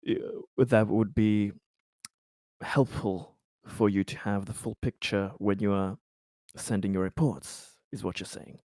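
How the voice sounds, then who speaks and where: A man plays a cautious official in an improvised tabletop roleplay. He has a lowish voice and a very slow, halting delivery, with formal, deliberate phrasing and a dry, close sound. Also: fairly even intonation, mellow, no echo, natural voice. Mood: deliberating. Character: cautious, deliberating male official negotiating with an agent.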